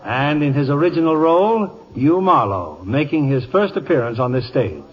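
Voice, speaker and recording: A man's voice: on the high side, sing-song, normal speed, husky. A man hosting a radio theater in a polished, scripted mid-century style. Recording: dull old radio recording.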